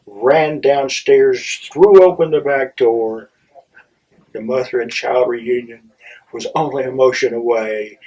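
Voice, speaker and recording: A middle-aged or older man telling a personal story in a theatrical, wry way, with dramatic pauses and halting asides. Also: mid-range voice, darkish tone. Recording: distant microphone.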